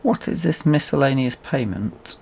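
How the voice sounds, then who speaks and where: A man's voice: mid-range voice, lively intonation, normal speed, gravelly, slightly rough. A man with a Northern English accent giving casual let's play commentary, muttering and grumbling to himself as he plays. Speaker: gaming video host. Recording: loud vehicle engine noise behind. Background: farming game vehicle and ambience sounds.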